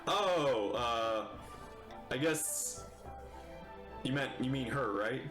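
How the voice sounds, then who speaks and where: A man's voice: mid-range voice, expressive, very slow, roomy sound, music in the background. A man giving casual, amused, rambling reaction commentary as he streams a video game.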